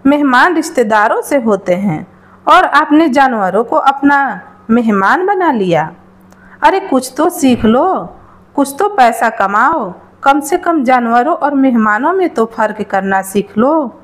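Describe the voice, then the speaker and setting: Nagging village wife acted by a woman narrator, storybook: scornful, suspicious, high voice with big swings. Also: lively intonation, slow pace. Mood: scolding. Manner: scolding, sarcastic, suspicious.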